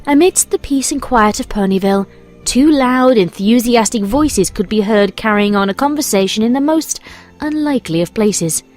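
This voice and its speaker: Female story narrator, English accent, fairly high voice, measured and expressive, over soft music. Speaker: fan fiction reader and narrator.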